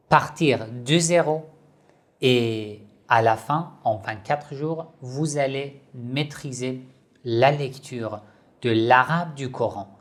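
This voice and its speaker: Slow, emphatic man teaching online. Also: medium pitch. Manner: slow, repetitive, emphatic explaining. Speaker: online Islamic institute teacher. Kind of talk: slow instructional video talk.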